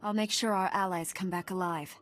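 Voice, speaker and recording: A woman's voice: mid-range voice, fairly even intonation, a little fast, a bit bright, close to an everyday voice. A woman playing a resolute, earnest knight heroine in a science fiction video game, with a composed manner. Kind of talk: video game cutscene acting.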